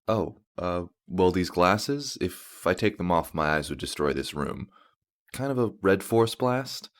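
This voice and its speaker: A man's voice: low-pitched, animated, unhurried. A man playing an awkward, reserved teenage boy in an audio drama, terse and hesitant. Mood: awkward, subdued.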